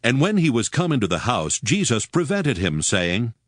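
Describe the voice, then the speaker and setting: A man's voice: medium pitch, wildly swooping pitch, normal speed, no echo, clean studio sound. A man narrating a scripted King James Bible reading. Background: music.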